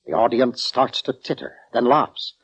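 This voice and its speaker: An actor playing a pompous, self-important orchestra conductor in 1940s radio drama style, formal, theatrical and easily affronted. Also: male voice, mid-range voice, a little slow, a bit bright, breathy edge. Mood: troubled, indignant. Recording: dull vintage radio recording.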